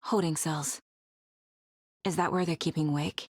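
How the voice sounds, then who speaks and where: A determined, level-headed female federal agent in a horror game. Her voice is husky and on the low side, recorded close and dry. She sounds serious and focused, as if thinking through a case. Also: fairly even intonation, unhurried, a little mellow, no room sound. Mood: focused, investigative. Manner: focused, serious, often musing to herself. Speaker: video game voice actress. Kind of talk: dramatic video game voice lines.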